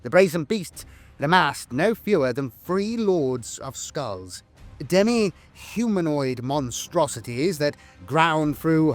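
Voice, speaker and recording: Grandiose male narrator over music. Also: medium pitch. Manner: grandiose, theatrical storytelling. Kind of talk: dramatic scripted lore narration. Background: background music.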